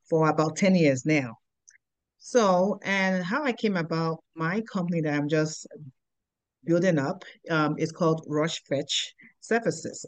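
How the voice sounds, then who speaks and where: A woman's voice: low-pitched, no room sound. Nigerian-accented middle-aged woman, dry sound. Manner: unhurried, simple sentences with pauses and fillers. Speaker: guest, business owner. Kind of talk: casual interview answers.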